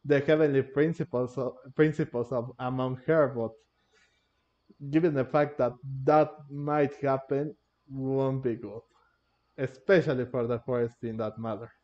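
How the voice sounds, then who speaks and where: A man giving casual, rambling commentary on a game playthrough, halting and repeating himself. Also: medium pitch, fairly even intonation, dull audio. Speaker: gamer, video host. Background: game music.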